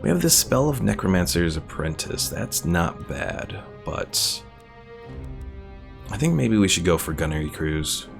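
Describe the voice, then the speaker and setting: A male gaming streamer with a deep voice full of creak. He talks casually through his play and reads viewers' messages aloud, with game music behind him. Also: big pitch swings, unhurried. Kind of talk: casual live game commentary, reading out chat.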